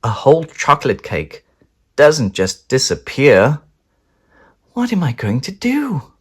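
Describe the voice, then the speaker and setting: A man reading a children's story aloud in a theatrical, animated storytelling style. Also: mid-range voice, slow, breathy edge. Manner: theatrical, with whispers and chanted sound words. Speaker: storybook reader.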